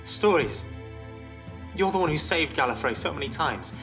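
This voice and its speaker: A man voicing a desperate soldier in an audio story, pleading earnestly and haltingly over music. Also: a little high, animated, voice pushed up. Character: desperate young soldier pleading with a legendary hero. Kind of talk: audiobook character acting. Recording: music bed behind voice.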